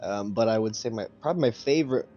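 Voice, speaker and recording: A man's voice: fairly low pitch, quick pace, dark tone, faint music behind. A man talking casually as a player in a tabletop game. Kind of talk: casual chat. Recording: dull lo-fi sound, faint noise.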